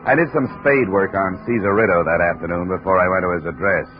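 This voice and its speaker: An American actor playing a dry, world-weary insurance investigator in a 1950s radio detective drama. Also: male voice, sits low, fast, loud music behind the voice, close to an everyday voice. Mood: wry narrating. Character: wry, hard-boiled male insurance investigator narrating his case. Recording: dull, hissy old radio recording.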